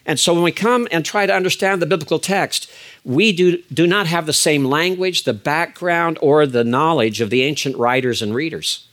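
An older man giving a lecture as a biblical Hebrew teacher, measured and emphatic, with frequent fillers and restarts. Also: fairly high pitch, a bit bright. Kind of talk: recorded lecture.

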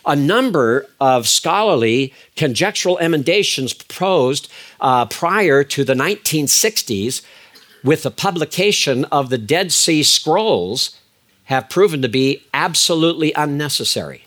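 An older man giving a lecture as a biblical Hebrew teacher, measured and emphatic, with frequent fillers and restarts. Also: fairly high pitch, lively intonation, fairly bright tone. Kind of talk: recorded lecture.

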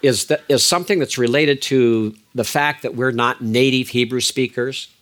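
An older man giving a lecture as a biblical Hebrew teacher, measured and emphatic, with frequent fillers and restarts. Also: medium pitch, a bit bright. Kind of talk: recorded lecture.

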